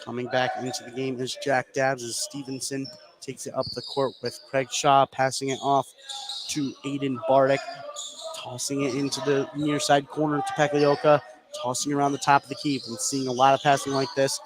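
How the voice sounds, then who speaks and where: A man's voice: mid-range voice, little pitch movement, normal speed. A man doing live radio play-by-play of a basketball game, following the action in short clipped phrases. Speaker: play-by-play sports announcer. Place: indoor high school gym. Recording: live sports broadcast with clear gym noise. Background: clear game noise.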